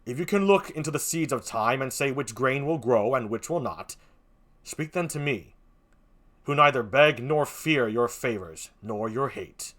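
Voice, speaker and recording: A reader voicing Banquo as a stern nobleman, speaking loudly and set back from the mic. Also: medium pitch, lively intonation, measured pace. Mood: stern questioning. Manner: stern and loud, from farther off the mic.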